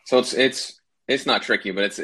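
A man's voice: mid-range voice, normal speed, bright, forceful tone, slightly rough. A young man from New Hampshire, a rapper guesting on a podcast, talking in a laid-back way with lots of fillers. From New Hampshire, USA. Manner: laid-back, uses lots of fillers like "like" and "you know what I mean". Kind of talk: casual podcast interview.

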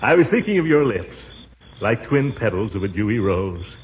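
A man's voice: fairly low pitch, sing-song, normal speed, dull audio. An actor playing a stout, middle-aged businessman, a pompous, flustered and sentimental suitor who blusters and sputters, in 1940s radio comedy style. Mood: sentimental, courting. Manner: blustering, sputtering, pompous, florid when courting. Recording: noisy, band-limited vintage radio recording.

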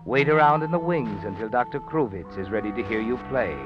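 A man's voice: medium pitch, lively intonation, a little fast, music in the background, natural voice. An actor playing an ominous, all-knowing narrator in old radio suspense, insinuating and dramatic as he addresses the hero. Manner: dramatic, insinuating, second-person telling. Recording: dull old radio recording, musical backing.

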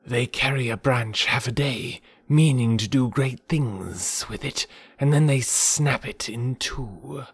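A man voicing a huge old python for a storybook reading, haughty and menacing, with a breathy, whispery rasp and drawn-out delivery. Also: mid-range voice, a bit bright, dry recording, full character voice. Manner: slow, drawn-out, deliberate. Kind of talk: audiobook character voice.

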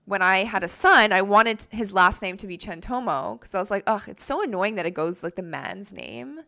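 A woman's voice: medium pitch, animated, normal speed, creaky, pushed and bright. A Canadian woman in her thirties, co-hosting a podcast in a casual, rambling chat full of "like" fillers. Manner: chatty, rambling, frequent "like" fillers. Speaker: podcast co-host.

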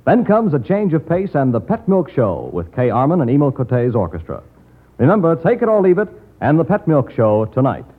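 A man's voice: a little high, huge pitch swings, normal speed, husky. An American man making formal announcements as a 1940s radio network announcer. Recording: slightly muffled old radio recording.

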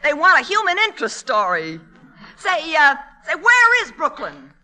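Wisecracking young writer gal, old radio comedy, dry humor, really bright lively voice. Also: female voice, sits high, moderate pace, heavily acted. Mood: eager. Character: wisecracking, quick-witted struggling young woman writer, older sister. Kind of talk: 1940s radio comedy acting. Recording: vintage radio broadcast recording.

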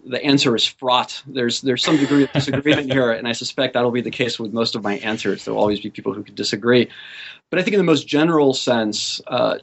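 Measured man, guest academic on a podcast. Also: medium pitch, lo-fi sound. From South America. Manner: thoughtful, measured, with fillers. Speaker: guest author. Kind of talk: academic interview.

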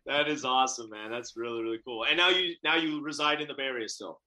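A man's voice: medium pitch, quick pace, sharp tone. A man co-hosting a podcast over a video call, eager and chatty, laughing often and restarting his sentences. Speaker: podcast co-host. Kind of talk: casual interview chat. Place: home office in the Bay Area.

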